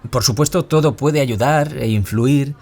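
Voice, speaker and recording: A man's voice: medium pitch, measured pace, a little mellow. A man with a Peninsular Spanish accent playing an earnest, reflective author who survived bullying, in a scripted radio interview. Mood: reflective, serious. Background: music.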